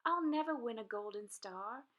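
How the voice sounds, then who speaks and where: A woman's voice: on the high side, animated, moderate pace, bright, forceful tone. A woman voicing a young male dragon pupil, eager and earnest, from a children's storybook. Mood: upset. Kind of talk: children's storybook read-aloud.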